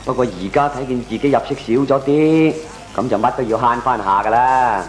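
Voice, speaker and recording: An actor playing a cheeky, smooth-talking male tenant with a Hong Kong Cantonese accent in a comic radio drama. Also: medium pitch, fairly quick, roomy sound, lightly acted. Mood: matter-of-fact. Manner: persuasive, placating.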